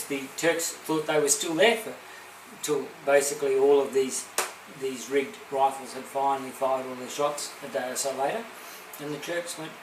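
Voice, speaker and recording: Man, podcast co-host, punny, laughs a lot, distant mic, light hiss. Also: a little high. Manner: jokey, laughs often, punning. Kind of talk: casual comedic chat. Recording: echoey room, distant mic, faint hiss.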